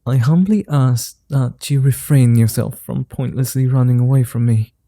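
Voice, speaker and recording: A man playing a suave, flirty vampire in an ASMR roleplay, speaking softly in courtly, formal phrases with a gentle, teasing manner. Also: medium pitch, no room sound, clean studio sound, close to an everyday voice. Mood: coolly teasing. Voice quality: soft, dark, breathy. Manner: courtly formal wording, unhurried pauses, teasing.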